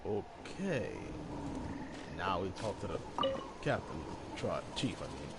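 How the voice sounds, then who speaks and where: Male game streamer, casual rambling chat, lowish voice, noisy stream audio. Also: slow. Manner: relaxed, rambling, with fillers and self-corrections. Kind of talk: casual livestream commentary.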